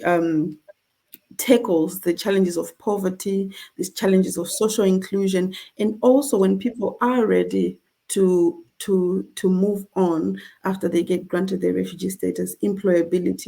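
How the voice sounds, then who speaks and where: A middle-aged woman from Zimbabwe leads an online conversation for a charity. Her voice is low and mellow with a gravelly edge. She speaks earnestly, with many fillers and restarts. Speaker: charity representative and host.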